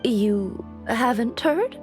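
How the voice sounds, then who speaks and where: An actress playing an eager, chatty, inquisitive businesswoman in a video game, polite yet effusive and expressive. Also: a little high, very slow, faint music behind. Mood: gossipy, teasing. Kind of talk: scripted video game voice acting.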